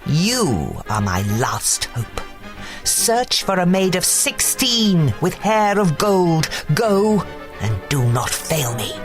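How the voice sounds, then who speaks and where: Lady doing an evil fairy villain in a kids tale, slow bossy menacing hiss, music behind. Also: on the low side, sing-song, pitched above the performer's own voice. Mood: commanding.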